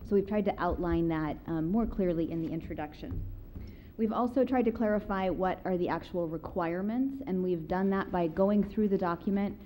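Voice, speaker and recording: A woman's voice: on the low side, normal speed, mellow, a little hiss or hum. A woman on city planning staff presenting at a public meeting, careful and explanatory, with frequent fillers. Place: council chamber, seated at a table.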